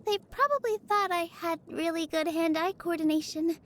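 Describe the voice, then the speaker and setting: An actress voicing a gentle, cheerful young woman dancer in a video game, speaking in a sweet, earnest, easily flustered manner. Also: very high-pitched, normal speed. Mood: warm, earnest. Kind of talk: video game character voice lines.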